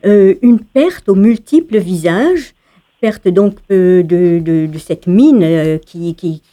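A woman's voice: on the low side, takes it slowly, dark tone. A woman interviewer on the radio asking long, winding questions with frequent fillers.

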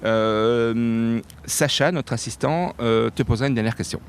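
Halting interviewer man, faint hiss. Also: mid-range voice. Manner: halting, frequent fillers. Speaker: interviewer, show host. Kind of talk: interview questions. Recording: faint background noise.

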